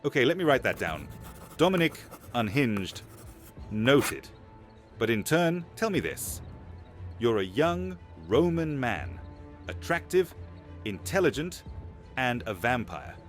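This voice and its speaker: A man's voice: medium pitch, big pitch swings, takes it slowly, pauses often, faint music behind. A man playing an eager older alchemist in an audio drama, theatrical, florid and ingratiating. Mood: wry, probing. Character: eager older alchemist begging to become a vampire. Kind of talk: audio drama roleplay acting.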